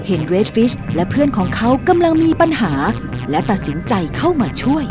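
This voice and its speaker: A woman narrating a dubbed children's cartoon in a slow, expressive storybook reading. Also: fairly high pitch. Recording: music bed under voice.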